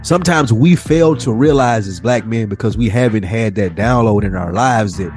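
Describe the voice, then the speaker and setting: A man's voice: fairly low pitch, normal speed, darkish tone. A man co-hosting a podcast in casual conversation, with informal, streetwise phrasing. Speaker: podcast co-host. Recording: voice over faint music bed. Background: faint music.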